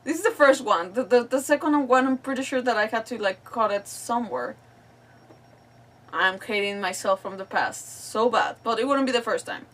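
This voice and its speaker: A woman's voice: fairly high pitch, expressive, normal speed, a bit bright, distant mic. A woman hosting a casual live stream, chatting in a rambling, apologetic, self-deprecating way. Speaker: livestream host.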